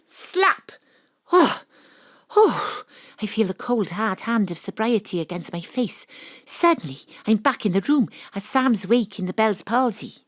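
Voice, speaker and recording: A woman's voice: medium pitch, very animated, a little slow, dry recording, studio quality. A woman playing a tipsy amateur investigator in an audio drama, narrating in a wry, bawdy, theatrical way. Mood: startled, tipsy. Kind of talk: audio drama, first-person narration and dialogue. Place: home studio, dry close sound.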